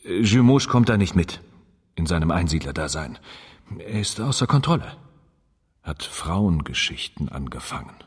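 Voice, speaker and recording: Really deep slow priest in a radio play, unctuous and hesitant, big long reverb. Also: male voice, sing-song, husky, full character voice. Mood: worried, delicate. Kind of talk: radio crime drama acting.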